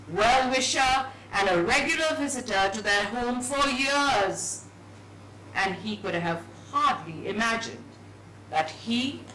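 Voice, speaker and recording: A woman's voice: mid-range voice, bright, forceful tone. A woman giving a dramatised reading of a story in a hall. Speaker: story reader. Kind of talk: dramatised book reading on stage. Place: echoey event hall. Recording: echoey hall, distant mic, faint noise.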